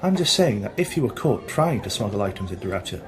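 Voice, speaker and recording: A man playing a polite but quietly menacing security officer in an amateur radio play, speaking formally. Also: fairly low pitch, very animated, quick pace, soft background music. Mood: veiled threat. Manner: formal, veiled threatening.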